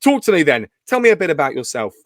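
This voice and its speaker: A man hosts an interview show, rattling off long framing questions at a quick pace. His voice sits a bit high. The sound is dry and close. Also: very animated, a bit bright, no room sound. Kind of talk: interview hosting.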